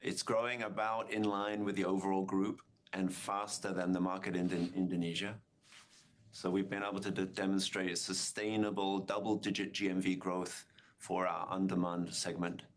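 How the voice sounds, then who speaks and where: A man speaking as a company executive, giving measured, formal answers on an earnings call. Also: low voice, little pitch movement.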